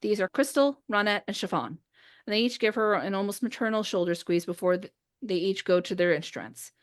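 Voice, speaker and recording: A woman's voice: mid-range voice, brisk. A woman hosting a podcast, chatting casually with friends and reading out a script she wrote. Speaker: podcast host, writer and script reader. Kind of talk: casual chat with friends before a script read-through.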